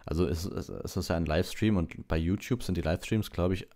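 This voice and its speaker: A young adult man in a relaxed style, reading a bedtime story or chatting casually as a livestreamer. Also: sits low, fast. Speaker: audiobook reader and livestreamer. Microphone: ordinary plugged-in microphone.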